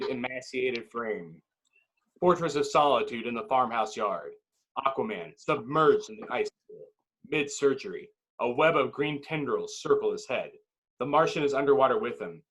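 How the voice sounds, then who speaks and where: A man's voice: medium pitch, expressive, normal speed, fairly bright tone, husky, poor audio. A man reading stage directions as narrator at a table read, in dramatic, clipped phrases.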